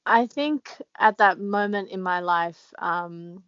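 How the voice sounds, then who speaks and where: A woman's voice: medium pitch, takes it slowly, a bit bright, smooth, no echo, dull audio. A woman guest being interviewed, talking reflectively with many fillers and restarts. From Australia. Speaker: author guest. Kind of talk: casual interview talk.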